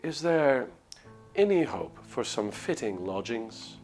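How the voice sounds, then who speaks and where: A man's voice: medium pitch, sing-song, takes it slowly, a little mellow, soft background music, voice pushed up, full character voice. A man voicing a haughty, irritated nobleman in a visual novel, formal and commanding. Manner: imperious, formal, sighing.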